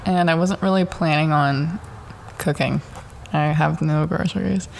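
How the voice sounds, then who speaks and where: A young woman talking on her own at home in a slightly low voice. She rambles from thought to thought, chatty and quick to mock herself, and leans on "like" constantly. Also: fairly even intonation, gravelly, slight background noise. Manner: rambling, chatty and self-mocking, heavy use of "like". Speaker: podcast host. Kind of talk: casual solo monologue. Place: at home in the dark during a power outage.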